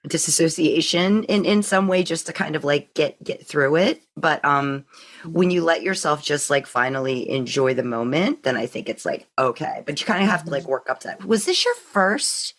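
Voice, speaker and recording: A woman's voice: low-pitched, expressive, normal speed. A woman hosting a podcast in a casual, chatty interview style, often prompting with questions. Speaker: podcast host.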